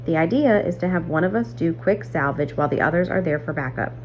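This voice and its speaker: A woman's voice: on the low side, animated, fast, a little mellow, close to an everyday voice. A woman voicing an earnest, patient young miner who leads a team, instructing emphatically in a storybook reading. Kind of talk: acted dialogue in a storybook reading. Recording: muffled audio, clear background hum.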